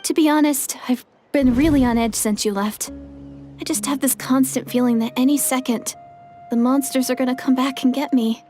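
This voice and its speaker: A high, girlish voice acted by a woman for a jumpy, evasive young girl in an anime-style game. She sounds hesitant and pleading, with soft music underneath. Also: fairly even intonation, moderate pace, natural voice. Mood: anxious.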